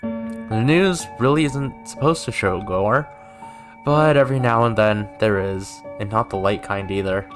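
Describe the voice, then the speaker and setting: A man's voice: lowish voice, unhurried. A man doing let's play commentary, reading game narration aloud and dropping in joking asides. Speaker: gaming YouTuber. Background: music.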